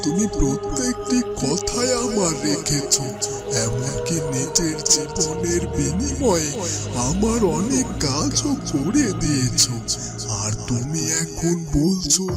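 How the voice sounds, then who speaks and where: A man playing solemn, earnest figures in a dramatic fairy-tale cartoon, speaking in a drawn-out way. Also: high-pitched, very animated, full character voice. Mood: solemn, reassuring. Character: male dervish elder and his downcast devoted follower. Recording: loud music under the voice.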